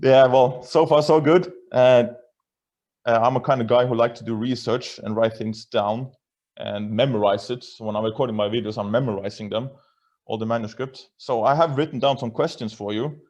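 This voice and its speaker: A man's voice: medium pitch, steady tone, normal speed, a little mellow, highs cut off. A Norwegian man speaking English as a non-native, hosting a casual video-call interview in a chatty, self-deprecating way with many restarts. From Norway. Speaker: host.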